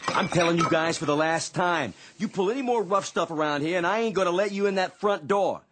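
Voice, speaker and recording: A man playing a gruff but protective barkeeper in a radio drama, with an American accent and colloquial, fatherly talk. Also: high voice, a little fast, fairly bright tone, slight background noise. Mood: exasperated. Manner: colloquial, streetwise, paternal.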